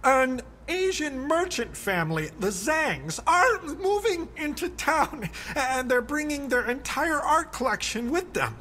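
An actress playing an earnest police lieutenant in a video game, deliberate and deferential. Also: female voice, high voice, big pitch swings, fairly bright tone, slight background noise, full character voice. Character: earnest female police lieutenant briefing her boss. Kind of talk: video game cutscene acting.

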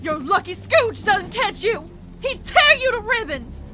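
Feisty frontier damsel, extremely high, defiant, nearly buried in music. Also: female voice, very animated, measured pace, heavily acted. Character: feisty, defiant saloon woman held captive. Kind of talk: cartoon comic dub acting.

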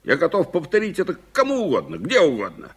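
An actor playing a hot-tempered, blustering businessman in a 1980s Soviet radio drama, husky, emphatic and volatile. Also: fairly high pitch, wildly swooping pitch, quick pace, heavily acted. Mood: defiant.

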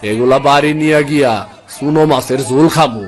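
A man's voice: mid-range voice, a little fast, some chatter behind, natural voice. Man as a tired village fisherman father. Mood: gentle. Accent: rural Bangladeshi. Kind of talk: cartoon voice acting.

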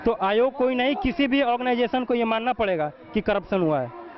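A man's voice: very high voice, brisk, highs cut off. A young man, a protesting exam candidate, earnestly arguing his point into a reporter's handheld mic outdoors. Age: young adult. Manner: earnest and argumentative. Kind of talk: interview answer. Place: outdoors among a crowd. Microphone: handheld mic held by reporter.

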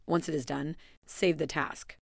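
Low, gravelly woman narrating a tutorial. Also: unhurried, no room sound, studio quality. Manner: even, instructional delivery. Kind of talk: scripted screencast voice-over.

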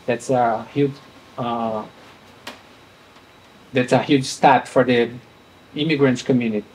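Brazilian-accented man speaking haltingly on a panel. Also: medium pitch, fairly even intonation, a little hiss or hum, low-quality recording. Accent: Brazilian Portuguese. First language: Portuguese. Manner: halting, searching for words. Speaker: conference panelist. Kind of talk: panel presentation. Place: conference room with panel table.

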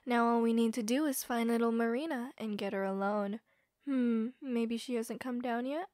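Casting: scheming young villainess acted by a woman, bossy, fairly high voice, dry close sound. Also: normal speed, darkish tone, no echo, close to an everyday voice. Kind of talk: amateur animated roleplay drama acting.

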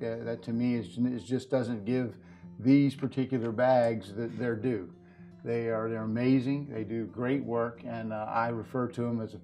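A man's voice: mid-range voice, a little mellow. Earnest middle-aged man over light guitar music. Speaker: professional farrier, interview guest. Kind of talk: explanatory interview talk about horse nutrition products. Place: indoor video set at a display table.

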